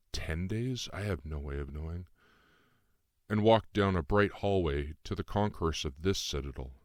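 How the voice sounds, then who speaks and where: Male author-narrator, deep register, measured literary audiobook read, very dry sound. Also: no echo. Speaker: author reading own novel. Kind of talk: scripted first-person audiobook narration.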